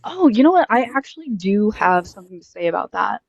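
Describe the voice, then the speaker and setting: A woman's voice: mid-range voice, big pitch swings, brisk, gravelly, highs cut off. A woman in her twenties doing a casual street interview, chatty and friendly with lots of fillers. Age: 20s. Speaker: host interviewing strangers.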